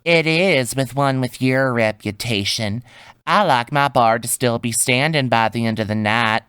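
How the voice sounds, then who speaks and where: A man's voice: mid-range voice, unhurried, a bit bright, smooth, dry recording, studio quality, lightly acted. A man playing a surly, wary saloon bartender in a Western audio drama, blunt and folksy.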